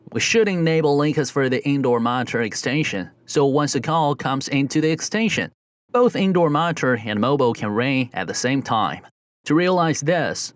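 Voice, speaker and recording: A man reading a scripted step-by-step software tutorial in a methodical, instructional way, as a close voice-over. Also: mid-range voice, no room sound. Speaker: tutorial narrator.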